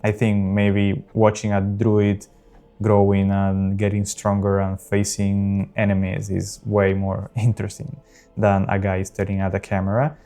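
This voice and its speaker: Earnest low-voiced man, Spanish accent. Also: completely flat delivery, warm tone, faint music behind. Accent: South American Spanish. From South America. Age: early 30s. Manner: earnest, unpolished, frequent pauses. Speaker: gaming channel host. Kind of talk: casual talk over gameplay.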